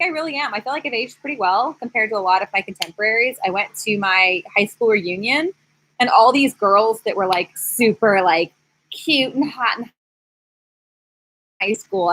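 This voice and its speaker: A woman in her thirties chatting casually to viewers on a live stream, candid, animated and rambling, speaking on a screened porch. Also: fairly high pitch, breathy edge, low-quality recording. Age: 30s. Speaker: YouTube host, former teacher.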